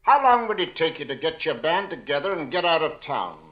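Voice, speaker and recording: An American man playing a brisk, generous well-to-do father in 1940s radio drama style. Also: on the high side, big pitch swings. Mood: brisk, kindly. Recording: muffled 1940 radio broadcast.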